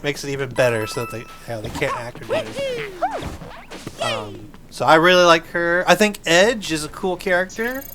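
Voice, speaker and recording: A man's voice: on the high side, noisy background. A man hosting a gaming video, giving casual, enthusiastic gameplay commentary in an informal, explaining way. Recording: game music behind the voice.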